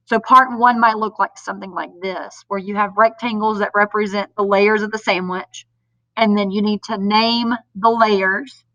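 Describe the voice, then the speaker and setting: A woman's voice: mid-range voice, pushed and bright, no room sound, recorded from a distance. A woman teacher, sounding nasal from a cold, patiently explaining homework to parents step by step with frequent ums. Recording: dull lo-fi sound a bit off mic.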